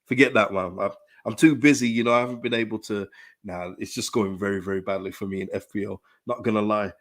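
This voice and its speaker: Man, hoarse, dry throat, fairly low-pitched, emphatic fan streamer, repeats himself. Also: fast talker. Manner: animated, emphatic, repeats phrases, frequent restarts. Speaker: football fan channel host. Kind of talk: live-stream fan commentary, reading chat.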